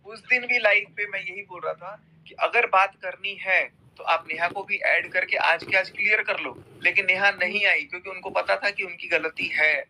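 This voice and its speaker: A man's voice: high-pitched, fairly even intonation, moderate pace, creaky, few pauses, bright, forceful tone, a little hiss or hum. A man in a heated personal argument on a recorded group phone call, insistent and repeating himself.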